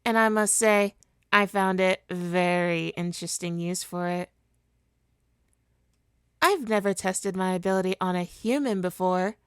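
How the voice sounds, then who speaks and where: A woman playing a smug, seductive supervillain in an ASMR roleplay, coaxing and teasing her hero nemesis with deliberate, hypnotic talk. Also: fairly low pitch, clean tone, dry recording, studio quality, natural voice.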